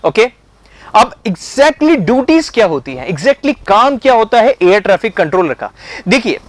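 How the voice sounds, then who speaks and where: An Indian man with a high voice teaches in a methodical, step-by-step way. He drops English terms into his explanations and often checks that the point has landed. The sound is dry and close, with very little room in it. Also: no room sound. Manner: mixes English terms into speech. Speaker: instructor explaining a career. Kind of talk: explanatory online lesson.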